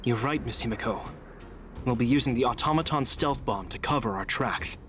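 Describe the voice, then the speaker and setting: An actor playing a disciplined, courteous guard captain in a video game, speaking seriously and in good order. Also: male voice, medium pitch, fairly quick, fairly bright tone, soft background music, natural voice. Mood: serious, briefing. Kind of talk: scripted video game dialogue.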